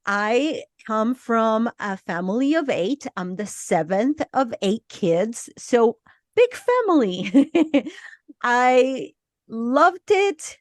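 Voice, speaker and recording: A middle-aged woman from Argentina with a slight Argentine Spanish accent, telling her life story as a podcast guest in a slow, reflective way. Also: on the high side, big pitch swings, dry recording, studio quality. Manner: slow, reflective, with small laughs and restarts. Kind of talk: personal life story in interview.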